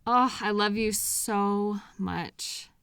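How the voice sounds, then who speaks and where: A woman's voice: medium pitch, steady tone, fairly bright tone, smooth, no room sound. A woman acts as a devoted, patient girlfriend in an intimate audio roleplay. She takes her time over each phrase, tender and reassuring as she comforts an anxious partner. The sound is very dry and close, with no room around the voice. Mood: tender, sympathetic. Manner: tender, reassuring, unhurried pauses with sighs.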